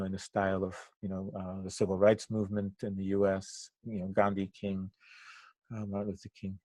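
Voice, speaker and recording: An older man originally from Cuba, native in Spanish, speaks as an interview guest in a low voice. He thinks as he goes, breaking phrases with pauses and picking them up again. The sound is dry and close, with little room in it. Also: a little mellow, no echo. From Cuba, moved to the US at eight. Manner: halting, thoughtful phrasing with pauses mid-sentence. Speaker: interview guest, activist and trainer. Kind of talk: reflective interview answers.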